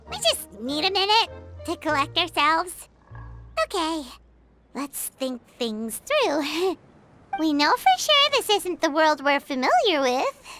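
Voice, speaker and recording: A woman's voice: high-pitched, bright, forceful tone, heavily acted. A woman voicing a chatty little fairy companion who talks about herself in the third person.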